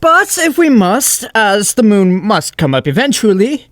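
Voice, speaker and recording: A silly, self-important pony chancellor from a cartoon audio drama, acted by a woman. The delivery is very animated and theatrical. Speeches ramble along pompously. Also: medium pitch, measured pace. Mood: grand. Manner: theatrical, pompous, full of muddled similes.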